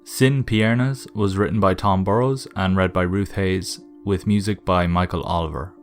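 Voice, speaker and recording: A man with a deep voice announces podcast credits in a scripted sign-off. Soft guitar music plays behind him. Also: fairly even intonation, moderate pace.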